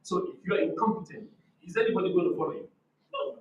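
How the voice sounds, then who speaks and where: A man with a loud, forceful voice giving an emphatic, didactic motivational talk at a conference, repeating key phrases and asking rhetorical questions. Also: high-pitched, fast talker, lo-fi sound. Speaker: conference speaker on leadership. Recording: echoey room, distant microphone.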